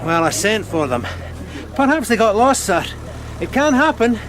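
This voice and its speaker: Deadpan police sergeant, highish voice. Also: male voice, normal speed, a crowd in the background. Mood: matter-of-fact. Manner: deadpan, dry. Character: put-upon, dryly sardonic police sergeant, deferential but tetchy. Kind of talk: British radio crime drama acting.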